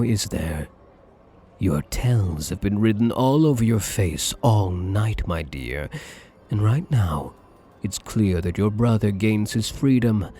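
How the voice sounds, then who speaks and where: A smug, possessive rich gambler, acted by a man, speaks in a breathy, husky voice for an intimate ASMR roleplay. He takes his time over every phrase, swooping widely in pitch as he teases. The tone is dark and suave, full of theatrical endearments. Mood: smug, triumphant. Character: possessive, smug, wealthy male gambler doting on captive lover.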